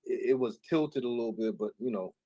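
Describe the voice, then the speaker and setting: A man's voice: mid-range voice, warm tone, low-quality recording. A man joining a casual livestream chat, talking in a loose, filler-heavy way. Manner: fast, filler-heavy talk, keeps saying "you know". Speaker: livestream guest.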